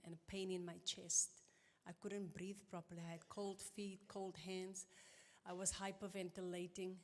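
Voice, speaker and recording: A middle-aged woman giving an earnest, halting and emotional personal testimony at a church conference. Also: on the low side. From South Africa. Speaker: conference guest speaker. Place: church auditorium. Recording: long reverb of a large church hall.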